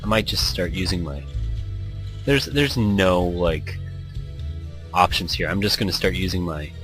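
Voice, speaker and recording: A man's voice: deep voice, a little slow, a bit bright. A man hosting a let's play, reading visual novel text aloud in a playful, theatrical way. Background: background music.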